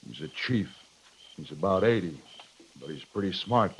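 An American actor playing a headstrong, blunt cavalry captain with clipped military formality, in a 1950s radio western. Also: male voice, low voice, lively intonation, moderate pace, husky, full character voice. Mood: formal, reserved. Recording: dull lo-fi 1950s radio recording.

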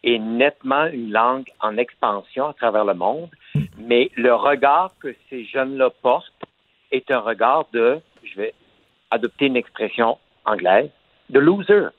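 A man, an actor and author giving a radio interview, articulate and measured. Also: mid-range voice, animated, no echo. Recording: phone line.

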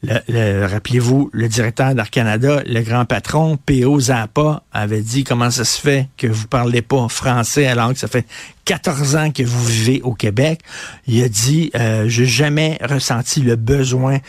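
A man's voice: medium pitch, normal speed, dry recording, clean studio sound. A Québécois man hosting a radio talk show, giving emphatic opinionated commentary, hammering points with repetition, frequent fillers and restarts. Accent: Québécois French.

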